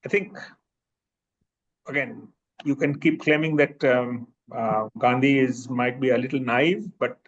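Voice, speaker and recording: A man with an Indian English accent, a professor answering audience questions in a thoughtful way, pausing with fillers and restarting sentences. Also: medium pitch. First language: Bhojpuri. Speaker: presenting professor. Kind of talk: academic Q&A answer. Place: seminar room. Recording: slightly muffled recording.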